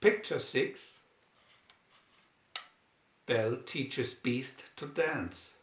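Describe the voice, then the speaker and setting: A man reading scripted storybook captions in a deliberate, announcing manner. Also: medium pitch. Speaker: narrator reading a picture-reel booklet. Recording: dull, roomy sound.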